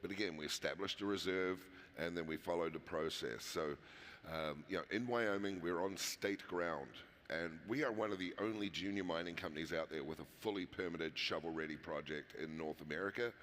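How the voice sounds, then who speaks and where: Deep-voiced New Zealand man, hall echo. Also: brisk, fairly bright tone. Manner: conversational, many fillers and restarts. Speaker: mining company founder. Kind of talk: conference interview. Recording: long hall reverb.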